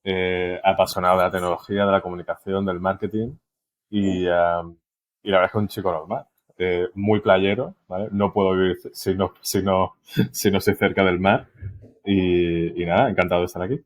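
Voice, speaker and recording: Deep-voiced Málaga man, flat and relaxed. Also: normal speed. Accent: Andalusian Spanish from Málaga. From Spain. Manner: relaxed, chatty, laughs easily, many fillers. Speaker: podcast guest. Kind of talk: casual interview chat.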